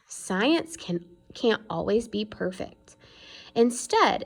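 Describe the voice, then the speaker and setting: A woman's voice: mid-range voice, gravelly, darkish tone. A woman reading an educational children's book aloud in an explanatory way, stressing key words. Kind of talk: read-aloud educational narration.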